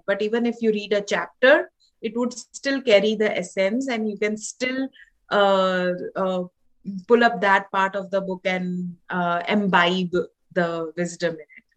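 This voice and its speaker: A woman from India with an Indian accent, a holistic doctor and author in her thirties, answering interview questions with many pauses and restarts. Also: medium pitch, steady tone. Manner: hesitant, many fillers and restarts. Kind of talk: podcast interview guest.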